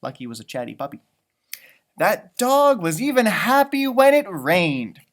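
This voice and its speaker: A man's voice: high voice, a little slow. A man reading a children's story aloud, adding chatty, improvised asides about the pictures. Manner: animated, chatty commenting on pictures. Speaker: storybook reader.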